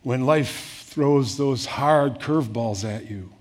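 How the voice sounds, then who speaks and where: An older man preaching a sermon in an earnest way, rising to emphatic peaks and then dropping soft. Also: medium pitch, slow. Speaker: pastor.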